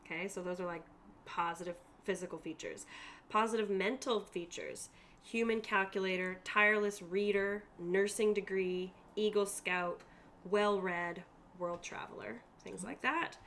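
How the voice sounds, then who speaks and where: A woman's voice: medium pitch, steady tone, a little slow, fairly bright tone, slight background noise. A woman game master explaining tabletop rules to players in an informal way and reading out lists of examples.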